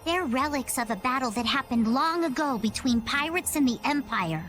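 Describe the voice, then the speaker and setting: A high, lively young pirate girl in an anime game. She is plucky and cocky, a little know-it-all, with melodic swings in her speech. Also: normal speed, soft background music. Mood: matter-of-fact. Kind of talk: anime video game dub acting.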